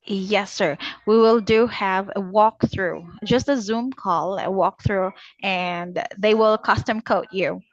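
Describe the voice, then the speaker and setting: The audio is dull and lo-fi, with a woman speaking at a medium pitch. She is a polite telemarketing agent working through a scripted sales pitch in a formal, conversational way. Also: takes it slowly, hardly any pauses. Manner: polite, addresses the listener formally.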